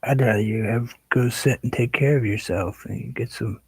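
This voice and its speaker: A man hosting a painting livestream, chatting casually and relaxed with viewers, sometimes dropping to a soft whisper as he works. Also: medium pitch, normal speed, breathy edge, no echo. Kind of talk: casual chat while painting.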